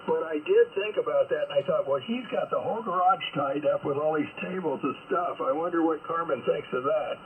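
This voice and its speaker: A man's voice: a little high, brisk, hardly any pauses. Male ham operator, casual rambling chat, tinny radio line with hiss. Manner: rambling, many fillers.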